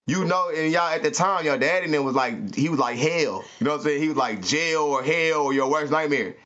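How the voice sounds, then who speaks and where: A man's voice: a little high, fairly quick. Slangy man telling stories. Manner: slangy, animated, addresses listeners as "y'all". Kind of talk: animated comic storytelling. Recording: muffled lo-fi recording.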